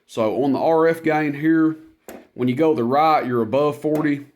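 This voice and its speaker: A man's voice: fairly high pitch, moderate pace, darkish tone. A man talking in a folksy, plainspoken, unscripted way as he demonstrates gear he is selling. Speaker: product demonstrator, radio seller. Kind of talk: casual product demo.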